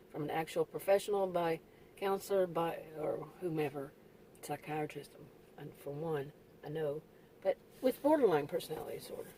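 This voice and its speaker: A middle-aged woman talking in a rambling, halting personal vlog, correcting herself often, her voice getting worn. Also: on the low side, mellow, slight background noise, low-quality recording. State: losing her voice. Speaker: vlogger. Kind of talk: casual personal vlog monologue.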